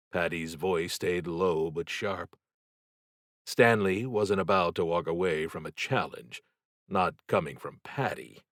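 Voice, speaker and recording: A man narrating a novel in a dramatic, deliberate and suspenseful way, with expressive scripted delivery. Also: low-pitched, no echo, clean studio sound. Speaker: audiobook narrator.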